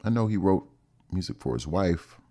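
A man's voice: sits low, expressive, normal speed, dark tone. A man from Ohio hosting a radio interview, asking guests questions. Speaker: radio host.

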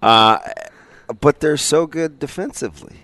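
A man's voice: on the high side, measured pace, dry recording. A man co-hosting a casual sports talk show, joking and jumping from one thought to the next. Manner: joking, animated, jumps between thoughts. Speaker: radio co-host. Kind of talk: casual chat.

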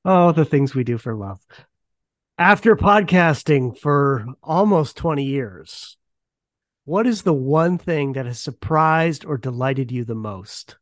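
A man's voice: fairly high pitch, expressive, takes it slowly, no room sound. Chatty male podcast host, dry close sound. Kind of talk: casual interview chat.

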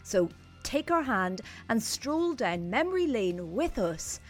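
A woman's voice: on the high side, sing-song, slow pace, faint music behind. An Irish woman co-hosting a podcast, chatting casually, self-mocking and prone to trailing off. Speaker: podcast co-host. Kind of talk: casual chat.

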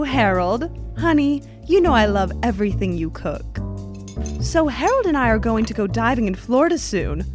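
Actress as teasing, chatty 1930s lodge hostess, playful and folksy, higher-pitched voice over clear music. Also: female voice, lightly acted. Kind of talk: 1930s radio drama acting. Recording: prominent music under the voice.

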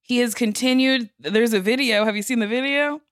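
A woman podcast host chatting casually in a playful, expressive, slangy way. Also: fairly high pitch, a little fast, no echo, studio quality. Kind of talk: casual chat.

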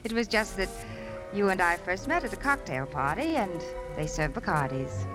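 A woman's voice: on the low side, expressive, moderate pace, natural voice, poor audio. Actress as a poised, wary radio-drama woman. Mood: tender, light. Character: poised, wary, flirtatious woman, a widowed murder suspect. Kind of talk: 1950s radio acting. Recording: vintage 1950s radio with music.

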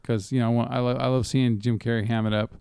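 A man chatting casually as co-host of a movie review show, profane, halting and often repeating himself. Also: medium pitch, level tone, vocal fry, a little mellow, clear, resonant tone, no echo, clean studio sound. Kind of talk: casual chat, film commentary.

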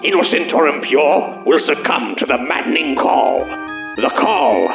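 A man narrating a horror trailer in a slow, ominous, measured way. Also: on the high side, lively intonation, over music. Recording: echoey, muffled sound.

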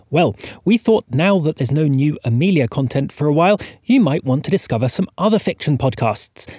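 A man's voice: on the high side, a little mellow, breathy edge, no echo, clean studio sound. A man hosting a podcast, giving a partly scripted introduction. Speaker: podcast host.

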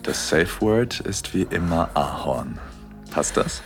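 A devoted husband , acted by a man speaks in an intimate audio drama with a deep, soft, reassuring voice. He is tender and teasing, with gentle authority. Faint music sits behind him. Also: lively intonation, slow pace, gravelly, exaggerated voice. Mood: gently commanding.

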